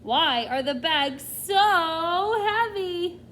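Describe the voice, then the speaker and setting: A woman's voice: very high voice, expressive, extremely slow, fairly bright tone, a little hiss or hum. A woman teacher reading a nonfiction book aloud to children, expressively, often addressing them directly with questions and asides.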